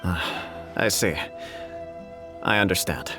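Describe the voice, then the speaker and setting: A man's voice: low voice, slow pace. An actor playing a dignified, diplomatic nobleman in a video game, with a formal and polite manner of speaking. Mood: taken aback. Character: courteous, dignified male shogunate nobleman, diplomatic and obliging. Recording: loud background music.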